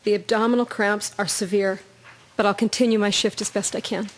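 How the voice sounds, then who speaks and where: A woman's voice: mid-range voice, fairly even intonation, a little fast, lightly acted. A woman acting a stoic, weary First World War nursing sister in a radio drama.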